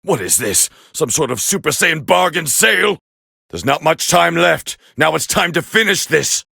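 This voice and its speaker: A proud warrior prince from an anime fighting game, growling with heavy gravel in his throat. He sounds forceful and condescending, commenting on battle in clipped, scornful phrases. The voice sits fairly high for a man and is recorded close and dry. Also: expressive, normal speed, dry recording, exaggerated voice. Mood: tense, wary.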